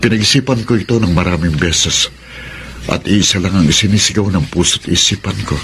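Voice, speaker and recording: A man's voice: low-pitched, brisk, a bit bright, slight background noise. A man with a Filipino accent playing an earnest, devoted suitor in a radio drama, speaking romantically. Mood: tender, hopeful. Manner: earnest, romantic, mixing English phrases.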